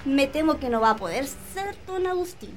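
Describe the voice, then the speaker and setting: High, flustered Chilean housekeeper. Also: female voice, expressive, normal speed. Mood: timid. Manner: anxious, exclamatory. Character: flustered, deferential hacienda housekeeper. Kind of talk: Spanish-language radio drama acting.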